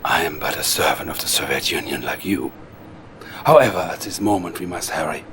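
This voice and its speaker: Sinister Soviet advisor, rock-bottom pitch, measured menace, clamour behind, game cinematic. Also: male voice, a loud crowd. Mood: ominous. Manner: ominous, measured, quietly menacing. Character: sinister, soft-spoken Soviet psychic advisor. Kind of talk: campy cinematic game cutscene acting.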